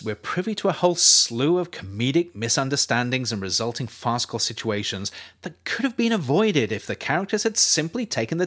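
A man reading his own nonfiction writing aloud as a narrator, measured and explanatory, with emphatic phrasing. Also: mid-range voice, fairly bright tone. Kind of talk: scripted audiobook-style narration.

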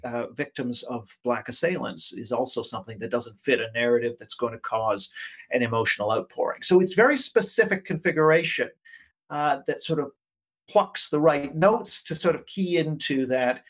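A man's voice: mid-range voice, moderate pace, fairly bright tone. A man speaking as an academic interview guest, explaining things analytically with examples. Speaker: academic guest, politics professor.

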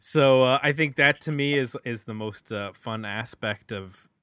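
A man's voice: medium pitch, unhurried, fairly bright tone, clear, resonant tone, no room sound. A man hosting a podcast, talking informally as he discusses records and addresses listeners. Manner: informal, conversational. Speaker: podcast host. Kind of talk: casual album discussion and show sign-off.

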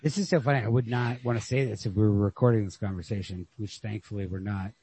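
A man's voice: fairly low pitch, steady tone, quick pace, mellow, breathy edge, no room sound. A man in a casual literary chat as a podcast host, musing and thinking aloud with frequent swearing. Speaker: podcast host discussing a short story. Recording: lo-fi podcast recording.

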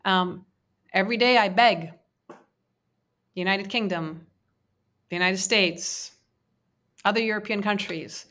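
A woman's voice: medium pitch, unhurried, pauses often, a bit bright. A woman whose first language is Persian, answering interview questions earnestly, with many fillers and restarts. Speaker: interviewee, women's peace advocate.

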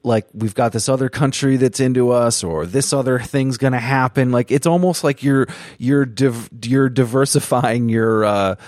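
A man's voice: mid-range voice, moderate pace, hardly any pauses, darkish tone, no echo, studio quality. A man hosting a podcast interview, talking casually and building long, thoughtful points. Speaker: podcast host.

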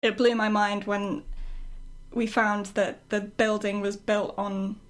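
Woman with a middling pitch, chatting casually, kind of amused. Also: flat delivery, takes it slowly. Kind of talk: casual discussion.

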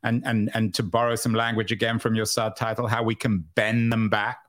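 A man's voice: lowish voice, little pitch movement, a little fast, fairly bright tone. Man interviewing with probing questions. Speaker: podcast interviewer, host.

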